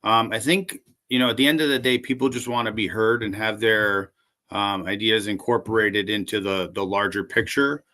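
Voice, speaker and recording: A man running for city council, answering interview questions calmly and conversationally, with lots of fillers. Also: on the low side, normal speed. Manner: calm, conversational, many fillers.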